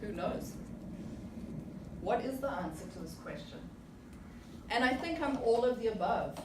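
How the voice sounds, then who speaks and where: A woman's voice: on the high side, lively intonation, unhurried. A woman in her forties from South Africa gives a prepared, reflective speech full of rhetorical questions to a club audience. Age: 40s. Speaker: club speaker. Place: meeting room with audience. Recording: echoey room, distant mic, background noise.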